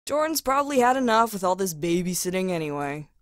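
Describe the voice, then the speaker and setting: A woman's voice: medium pitch, big pitch swings, fast, dry recording, lightly acted. Woman playing a laid-back, dry-sounding studio voice. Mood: casual. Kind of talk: audio drama dialogue.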